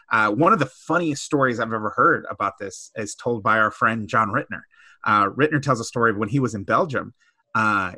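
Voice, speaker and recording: A man's voice: medium pitch, bright, forceful tone, dry recording. A man co-hosting a casual discussion, conversational and telling stories with lots of asides. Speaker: podcast co-host.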